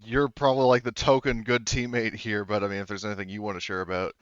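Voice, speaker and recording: Smooth-voiced man running a game, slightly muffled. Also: fairly low pitch, little pitch movement, quick pace, a bit bright, no room sound. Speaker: game master. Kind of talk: casual table talk running a tabletop roleplaying game.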